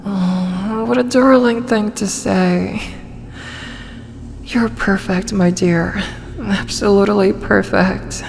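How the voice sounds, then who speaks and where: Slow, coaxing dragoness in long reverb, played by a woman. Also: mid-range voice, steady tone, a little mellow, slight background noise. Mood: tender, doting. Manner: slow, coaxing, tender delivery with breathy asides. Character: possessive, flustered tsundere female dragon, doting on her captive. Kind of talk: ASMR roleplay acting.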